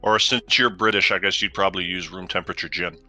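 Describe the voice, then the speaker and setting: A man talks casually as a guest on a game stream. His voice is low yet strikingly bright and forceful, with faint music behind it. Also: steady tone, fast talker. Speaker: stream guest and fellow player. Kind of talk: casual chat while gaming.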